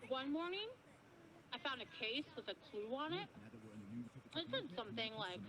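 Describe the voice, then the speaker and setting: A woman's voice: high voice, normal speed, crowd noise, poor audio. An actress playing a boastful beachcomber who calls in to a radio show and brags about her find. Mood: smug. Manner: bragging, chatty, smug. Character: boastful young woman beachcomber calling in. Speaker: caller. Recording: steady background noise.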